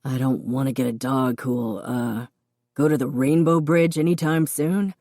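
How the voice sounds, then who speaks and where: A woman doing a deadpan young man. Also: a little slow, no echo, heavily acted. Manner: low, deadpan, dry. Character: young man, gruff, dry-humored brother.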